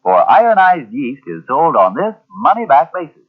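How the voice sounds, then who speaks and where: Male announcer, animated pitch swings, 1940s radio ad read, thin band-limited recording. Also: moderate pace, narrow, tinny audio. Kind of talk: 1940s scripted radio advertising.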